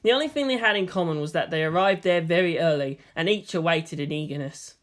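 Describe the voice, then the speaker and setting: A narrator giving an expressive reading of fan fiction, like an audiobook. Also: mid-range voice, quick pace.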